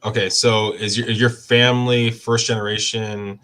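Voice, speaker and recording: Low-voiced rambling podcast host. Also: male voice, level tone, normal speed, a bit bright. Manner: loose, rambling questions with many fillers. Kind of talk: casual interview hosting.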